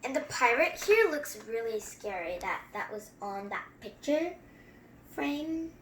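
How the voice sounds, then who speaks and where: A female story-time host reading aloud and adding casual, chatty commentary in a halting way. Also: fairly high pitch, big pitch swings, a little hiss or hum. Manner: halting word-by-word reading, informal chatty asides. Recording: roomy echo.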